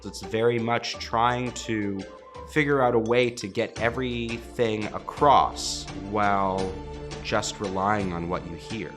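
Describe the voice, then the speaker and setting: A man's voice: fairly low pitch, fairly even intonation, slow pace. A man speaking as a theater artistic director in an interview, with wry, emphatic phrasing. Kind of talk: behind-the-scenes interview. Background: music.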